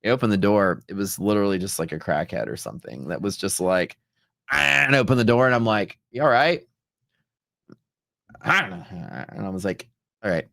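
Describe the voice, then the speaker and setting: A man's voice: sits low, expressive, fairly quick, vocal fry, hardly any pauses, fairly bright tone, no echo, clean studio sound. A man from Texas hosting a livestream, telling stories in a casual, rambling, slangy way. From Texas, United States. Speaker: livestream host, futures trader. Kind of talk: casual livestream chat, storytelling.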